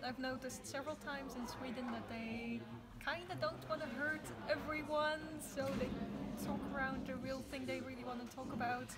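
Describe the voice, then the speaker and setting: A woman's voice: high voice, fairly even intonation, moderate pace, heavy background noise. A young woman, direct and emphatic in an interview. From Netherlands. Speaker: exchange student. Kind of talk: casual interview answers.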